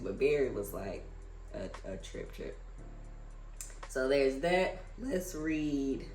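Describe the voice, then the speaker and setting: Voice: medium pitch, darkish tone, reverberant. An American reader with an informal, conversational manner, reading books aloud and adding casual commentary. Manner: informal, conversational asides between read passages. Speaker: book reader and reviewer hosting a read-aloud.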